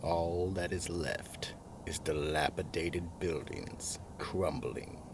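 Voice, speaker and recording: A man narrating a scripted radio mystery play in a theatrical, dramatic manner, dropping to a hush at phrase ends. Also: low voice, slow pace, background noise.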